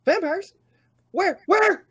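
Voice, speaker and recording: A performer voicing a scatterbrained, panicky old male duck vampire with a husky voice in a comic dub. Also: extremely high pitch, slow pace, no room sound, studio quality. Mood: panicked. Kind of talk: live comic dub reading.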